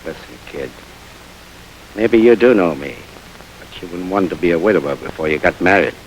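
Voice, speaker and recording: A low-voiced hoodlum with a gruff threatening growl, 1940s radio drama, faint hiss on the old recording. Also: male voice, expressive, normal speed, low-quality recording. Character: menacing male gangster robber, tough. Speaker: stickup man.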